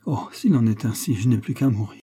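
A man's voice: mid-range voice, expressive, fairly quick, mellow, husky. Man acting a fervent, gullible nobleman in love. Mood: alarmed. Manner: fervent, exclamatory. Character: gullible, impassioned nobleman, a melodramatic lover. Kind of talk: comic literary audiobook dialogue.

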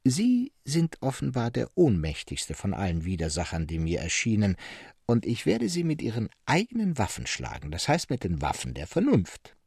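A man voicing a calm, self-assured mad hermit who speaks slowly and solemnly in a literary story reading. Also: lowish voice, vocal fry, husky, no echo, clean studio sound, full character voice. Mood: coolly superior.

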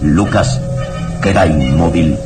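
A man's voice: very deep voice, slow. A man narrating a horror adventure radio serial in a grave, theatrical, ominous style. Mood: ominous, suspenseful. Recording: radio drama with background music. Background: dramatic music.

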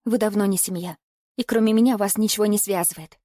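An earnest young adult daughter from an audiobook, read by a woman. She pleads gently but stays resolute, with emphatic phrasing at a middle pitch in a dry, close recording. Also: fairly even intonation, quick pace, no room sound, close to an everyday voice. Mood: pained resolve.

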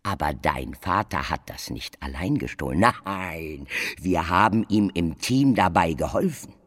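A brooding, bitter man in a radio play. The voice is very low and swings widely in pitch. The delivery is theatrical and emphatic. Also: lively intonation, measured pace, fairly bright tone, heavily acted. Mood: accusing. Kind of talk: radio drama acting.

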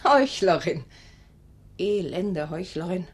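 A woman's voice: fairly low pitch, animated, slow pace, breathy edge, full character voice. A woman playing a bitter forty-year-old daughter in a German radio play, accusing and sarcastic in a theatrical way. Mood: scornful. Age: 40s.

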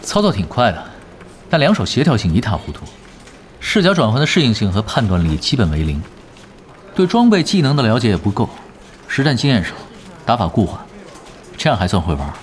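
A man's voice: on the low side, wildly swooping pitch, fairly quick, long pauses, a little hiss or hum. An actor playing a laid-back, cheeky young male gamer who is offhand and blunt, in an audio drama. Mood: cool, critical. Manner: offhand, hesitant mumbles turning blunt.

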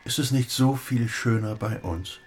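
An actor playing a middle-aged, bookish recluse in a radio play, speaking in a reflective, lyrical and measured way. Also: male voice, medium pitch, a little mellow, soft background music, close to an everyday voice. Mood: quiet, gentle. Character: wistful, gently philosophizing bookish recluse husband. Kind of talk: German radio play acting.

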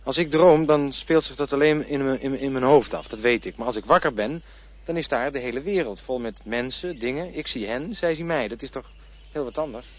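A man's voice: mid-range voice, fairly quick, natural voice. A man playing a stubborn, doubting man who protests and argues earnestly in a radio play. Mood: protesting. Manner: protesting, earnest, stammering at times. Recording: dull lo-fi radio recording with faint noise.

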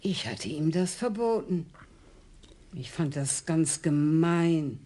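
An actress playing a blunt, dry-witted elderly widow in a radio crime play. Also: female voice, deep voice, expressive, slow, warm tone, full character voice. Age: older. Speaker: murder victim's widow. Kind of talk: radio drama acting.

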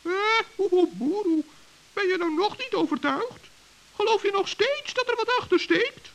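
A man playing a small, earnest, trusting forest gnome with a squeaky, creaky voice in a children's radio play. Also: animated, moderate pace, a little hiss or hum, heavily acted. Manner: earnest, naive, polite.

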